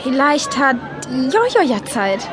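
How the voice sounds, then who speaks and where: A woman's voice: high-pitched, very animated, takes it slowly, a loud crowd, exaggerated voice. A woman playing a reluctant, unsure young schoolgirl in a children's audio drama, hesitant and put-upon. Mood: hesitant, awkward.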